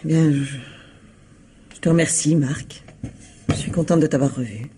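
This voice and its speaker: A woman's voice: deep voice, moderate pace, warm tone, slight background noise, close to an everyday voice. A woman acting an anxious, evasive middle-aged ex-wife in a radio crime drama, hesitant, nervous and polite. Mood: polite, restrained.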